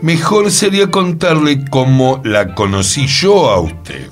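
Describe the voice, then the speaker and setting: A man's voice: mid-range voice, sing-song, unhurried, faint music behind. A man acting in radio theatre as an earnest, mysterious co-host, with a Buenos Aires accent and a theatrical, suspenseful delivery. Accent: Argentine, Buenos Aires. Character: earnest, mysterious male co-host recounting strange events.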